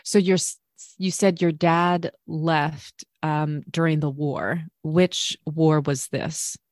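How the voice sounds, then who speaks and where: A woman's voice: deep voice, steady tone, slow pace, darkish tone, dry recording, studio quality. A woman hosting a casual interview, encouraging her guest with frequent murmured acknowledgements. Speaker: podcast host.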